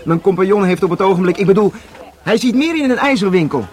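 An actor the playing a sarcastic, grumbling undercover detective posing as a market trader in a radio drama. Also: male voice, high voice, expressive, fast, a little mellow. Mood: sales patter.

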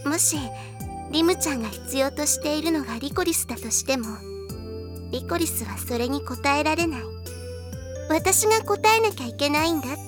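A woman playing a gentle, caring older-sister girl in an anime audio drama, speaking softly and politely. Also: high voice, lively intonation, normal speed. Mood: gentle, reflective. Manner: soft, polite, formal speech. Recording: music bed under the voice. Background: music.

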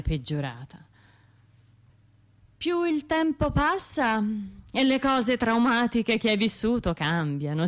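An actress playing an anxious, self-doubting trauma survivor in a radio drama, talking to herself in broken, halting phrases with a Tuscan accent. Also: female voice, on the high side. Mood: distressed, despairing. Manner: halting, fragmented, self-interrupting inner monologue.

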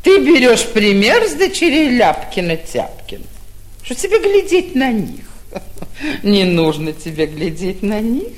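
A woman's voice: medium pitch, very animated, unhurried. An actress playing a vain, affected middle-aged provincial mother, haughty and theatrical, in a vintage radio stage comedy. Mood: scolding. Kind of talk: classic stage comedy acting, radio play.

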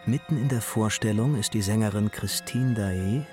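An actor playing an impassioned nineteenth-century newspaper chronicler in a radio play, declamatory and grandiose. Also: male voice, on the low side, normal speed, music in the background, close to an everyday voice. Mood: urgent, grave. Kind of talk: dramatic period news report.

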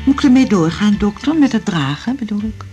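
A woman's voice: medium pitch, lively intonation, fairly quick, warm tone, faint music behind, lightly acted. A woman acting in a radio drama as a naive, chatty patient who rambles and complains matter-of-factly. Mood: chatty, complaining.

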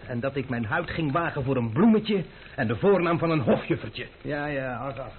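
A man's voice: mid-range voice, expressive, normal speed, close to an everyday voice. An actor playing a lovesick, bitter, proud man over his wine in a radio drama, agitated and rambling. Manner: agitated, rambling, repeating himself. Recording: noisy, narrow-band old radio recording.

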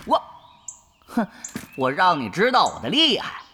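A man's voice: high voice, wildly swooping pitch, measured pace, sharp tone, slight background noise, full character voice. A man playing a petty, vengeful, hot-headed young guild gamer in a radio drama, indignant and huffy in manner. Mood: indignant, gloating. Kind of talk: animated radio drama dialogue.

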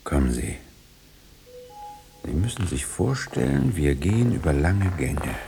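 An actor playing a mysterious, insistent man in hiding who conjures visions in halting, suggestive phrases in a radio play. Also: sits low, very animated, creaky, mellow, soft background music, heavily acted. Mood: insistent, conjuring. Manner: halting, suggestive phrases, sometimes dropping to whispers.

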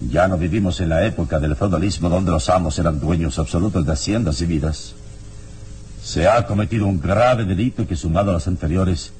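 An actor playing a calm, resolute hero in a radio drama, speaking in a measured, solemn, authoritative way. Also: male voice, sits low, a little hiss or hum. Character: calm, resolute male hero, a righteous defender of justice.

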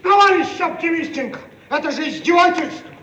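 An actor playing an indignant, excitable inventor in a theatrical radio play. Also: male voice, extremely high pitch, brisk, lo-fi sound, faint music behind, heavily acted. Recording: distant, echoey, noisy archive radio recording.

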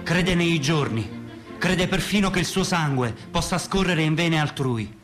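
An actor playing a solemn, grandiose orator in mourning, declaiming theatrically in a radio drama. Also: male voice, a little high, lively intonation, a little slow. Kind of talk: Italian stage-style radio drama monologue. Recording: music playing behind the voice. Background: instrumental music.

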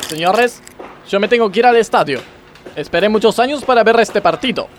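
A man's voice: high-pitched, lively intonation, a little fast, noisy background. A man playing a strict, preachy blind factory boss with an Argentine accent in a radio comedy sketch. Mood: stern, dismissive. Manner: stern, sanctimonious, curt.

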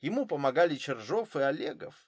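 A man's voice: on the high side, sing-song, brisk, breathy edge, no echo, clean studio sound. Man reading as careful German footballer witness. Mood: careful, cooperative. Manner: careful, literal answers. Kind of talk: audiobook character dialogue.

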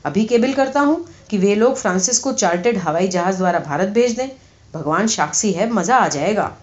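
A woman puts on a brash American magazine man from a satirical storybook. He is breezy, eager and excitable. The recording is a touch dull. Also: medium pitch, close to an everyday voice. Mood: thrilled. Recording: slightly dull, lo-fi audio.

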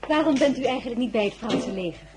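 A woman's voice: fairly high pitch, lively intonation, fast, noisy background, natural voice. An actress playing an anxious, tender royal lady, a general's wife, in a Dutch audio drama. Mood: surprised, brisk hostess.

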